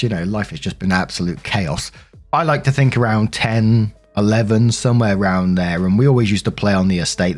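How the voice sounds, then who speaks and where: A man's voice: lowish voice, a little mellow. A British man hosting and narrating stories casually, in a chatty, rambling, sweary way. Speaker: narrator and host of a story compilation. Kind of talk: casual storytelling with personal commentary.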